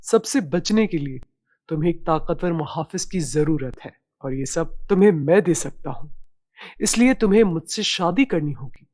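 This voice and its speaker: Woman acting as regal male king, low pitch, persuasive, possessive, animated fairy tale. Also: moderate pace. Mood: insistent.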